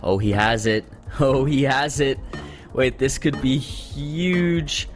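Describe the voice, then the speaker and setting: A man giving live play-by-play commentary on a competitive game, voice jumping up with excitement at big moments. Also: mid-range voice, slow pace. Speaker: esports commentator. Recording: music under the voice.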